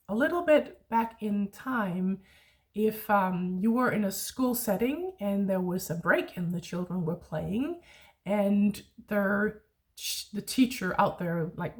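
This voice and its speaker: A Danish woman who tutors Danish, talking casually to learners, chatty and reflective, often pausing to search for words. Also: medium pitch. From Denmark. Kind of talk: casual online lesson talk.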